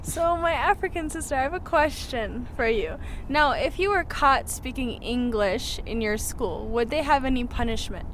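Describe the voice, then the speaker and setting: A fairly high-voiced woman co-hosts a casual podcast, playful and quick to chime in with questions. Faint outdoor traffic noise runs behind her. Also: expressive. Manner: playful, interjecting. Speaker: co-host. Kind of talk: casual chat.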